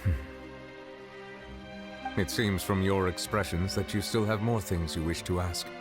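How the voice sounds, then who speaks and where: A grave, deep-voiced dragon sovereign. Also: male voice, fairly even intonation. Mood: solemn. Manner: formal, measured, solemn explaining. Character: ancient dragon sovereign in human form, grave and measured. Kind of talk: game character dialogue. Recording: game audio with music behind. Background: music.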